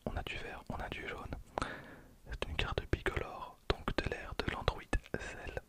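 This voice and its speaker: A man in his late twenties whispering ASMR commentary softly and unhurriedly, close to the microphone. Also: measured pace. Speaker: ASMR video creator opening trading cards. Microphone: close handheld stereo recorder.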